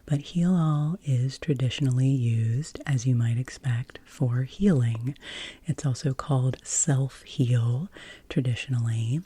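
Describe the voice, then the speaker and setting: A very low-pitched woman speaks in a breathy, whispered ASMR style. Her delivery is slow and soothing, with crisp, very bright sibilance. She gently guides the listener through relaxation. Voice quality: breathy, whispery soft-spoken.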